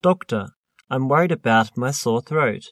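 A man's voice: mid-range voice, expressive, a little slow, a bit bright, dry recording, clean studio sound. A man reading the part of a worried male patient who smokes, in a scripted textbook dialogue, with plain, careful reading. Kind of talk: scripted listening-test dialogue.

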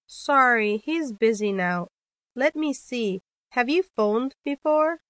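A woman reads scripted exam dialogues in a clear, careful textbook manner. Her voice sits a little high, and the recording is dry and close-miked. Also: lively intonation, slow, a bit bright, no echo. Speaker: dialogue reader on a listening test.

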